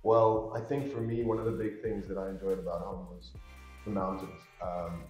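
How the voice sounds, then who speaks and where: A rugby player chatting on a panel in an easygoing, hesitant way with fillers. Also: male voice, deep voice, flat delivery, moderate pace, a little mellow, reverberant, faint music behind, low-quality recording. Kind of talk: casual panel chat. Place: indoor room with a branded backdrop.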